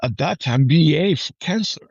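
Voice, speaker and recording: A man's voice: a little high, expressive, creaky, a little mellow, slightly rough, dry recording, lo-fi sound. A man in his forties from Puerto Rico, chatting casually as a guest and often repeating words. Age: 40s. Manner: halting, repeats words, often checks with "right?". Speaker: podcast guest, army veteran. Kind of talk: casual personal conversation.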